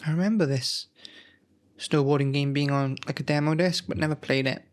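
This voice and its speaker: A man's voice: fairly high pitch, steady tone, a little fast. A man hosting his own gaming channel, talking casually and rambling as he looks back through old magazines, stopping often to correct himself. He is feeling unwell. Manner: rambling, self-correcting, thinks aloud. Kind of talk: casual nostalgic commentary.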